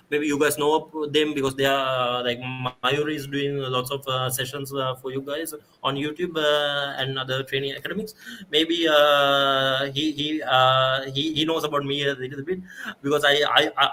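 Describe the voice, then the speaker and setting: A young man from Sri Lanka, a native Sinhala speaker, talking as a guest on a live-stream video call, halting with many fillers and restarts. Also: medium pitch, bright, forceful tone, slight background noise, low-quality recording.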